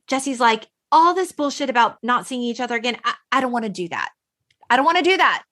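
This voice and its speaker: A woman hosting a film podcast, chatting in an emphatic, expressive way and acting out or reading quotes as she goes. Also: fairly high pitch, fast talker. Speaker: podcast host. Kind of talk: animated film chat, retelling and reading out quotes.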